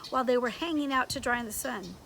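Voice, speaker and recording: A woman's voice: on the high side, fast, a bit bright, slight background noise. A woman voicing a stern, mocking conscience that scolds and interrogates, in a storybook read-aloud. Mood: mocking scolding. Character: scolding, bossy conscience, a voice inside the head.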